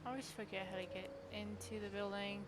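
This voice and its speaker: A woman's voice: mid-range voice, steady tone, fairly quick, very noisy. A woman game streamer giving casual live commentary, half talking to herself in a sing-song way. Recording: loud game music behind.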